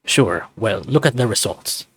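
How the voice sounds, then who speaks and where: A man's voice: on the low side, lively intonation, a little slow, slightly rough, dry recording. A man voicing a big, surly male furry lab lead in a visual novel, curt and sarcastic. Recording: music playing behind close dry voice.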